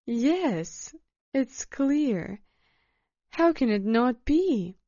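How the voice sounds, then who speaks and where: A woman storyteller voicing a calm, good-humored man who teases with gentle irony. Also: on the high side, no room sound, lo-fi sound. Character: calm, teasing, gently ironic man among hikers.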